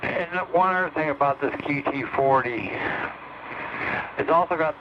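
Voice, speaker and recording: A man's voice: on the high side, normal speed. A man chatting casually as an operator over a CB radio. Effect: CB radio transmission. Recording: muffled, noisy CB radio reception.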